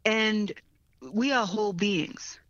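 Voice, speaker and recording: A woman's voice: medium pitch, slow pace, fairly bright tone, no echo, dull audio. A woman from Africa, a nonprofit leader, answering interview questions earnestly and often checking in with "right?".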